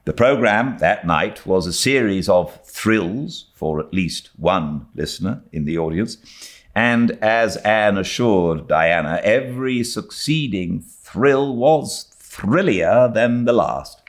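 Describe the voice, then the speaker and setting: A man with a British accent reading a classic novel aloud as an expressive audiobook narrator. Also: on the low side, slow pace. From Britain. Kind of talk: expressive literary story narration.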